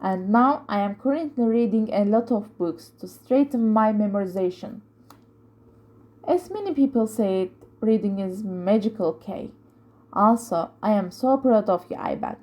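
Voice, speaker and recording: Mellow-voiced woman with a Turkish accent. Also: mid-range voice. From Turkey. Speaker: video host reading viewers' answers. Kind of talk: casual vlog talk, reading out comments.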